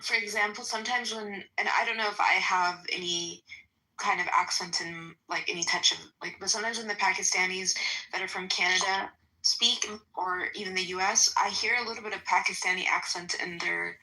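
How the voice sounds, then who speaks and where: A woman with a very bright voice chats casually in a group call. She fills her sentences with "like" and "um" as she talks. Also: mid-range voice, fairly even intonation, normal speed, creaky, low-quality recording. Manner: chatty, lots of "like" and "um". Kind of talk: casual chat.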